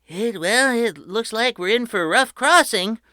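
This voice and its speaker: A woman voicing a kind, handy painter who talks gently and a little hesitantly. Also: a little high, animated, a little slow, no room sound, studio quality, pitched above the performer's own voice. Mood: uneasy.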